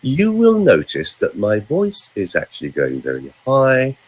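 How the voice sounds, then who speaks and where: Vocal coach, male, husky, wildly varied pitch, demonstrating exercises over a video call. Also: a little slow. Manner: animated, swoops between high and low pitch, demonstrates sounds.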